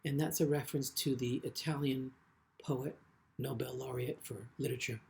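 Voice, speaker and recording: A man giving a literary reading as a poet, with deliberate, measured phrasing and frequent pauses. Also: medium pitch, steady tone, creaky, darkish tone. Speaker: poet reading own work. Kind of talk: literary poetry reading with brief spoken introductions.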